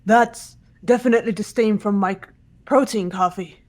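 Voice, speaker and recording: A woman's voice: medium pitch, normal speed, natural voice. A woman playing a serious, stoic young female fighter in an amateur game dub.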